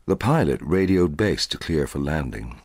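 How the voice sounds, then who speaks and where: A middle-aged man reading a short story aloud in a measured, dramatic way, with a husky voice. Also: very deep voice, animated, darkish tone, dry recording, clean studio sound. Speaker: audiobook narrator.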